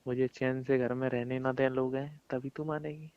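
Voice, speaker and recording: A man's voice: medium pitch, flat delivery, moderate pace, clean tone, no room sound. A young man chatting casually and intimately with his partner on a recorded phone call, coaxing and pleading in a colloquial way. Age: young adult. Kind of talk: casual intimate phone chat between lovers.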